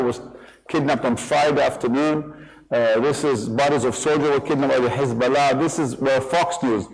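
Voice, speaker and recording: A man leading an interactive workshop for a live group, animated and joking, often repeating and rephrasing himself as he speaks. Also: mid-range voice, low-quality recording. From Israel. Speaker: workshop speaker and hostage negotiator. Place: live workshop in front of an audience.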